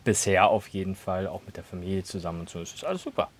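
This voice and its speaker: A man's voice: deep voice, expressive, quick pace, breathy edge. A young man from Berlin chatting casually, rambling with lots of fillers. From Berlin, Germany. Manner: rambling, many fillers and "und sowas". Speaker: conversation partner. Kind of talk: casual chat.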